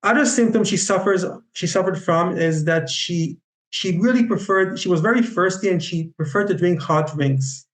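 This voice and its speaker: A man with an Israeli accent giving an online lecture on Chinese medicine, speaking haltingly with many fillers and restarts. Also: high voice, fairly bright tone, reverberant. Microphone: video call.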